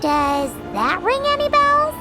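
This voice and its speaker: A woman voicing a tiny, cheeky, excitable fairy companion in a video game, with a childlike, exclaiming manner. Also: very high-pitched, takes it slowly, exaggerated voice. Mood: excited, chatty. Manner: childlike, exclaiming, speaks of herself in third person. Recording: clear background music under the voice.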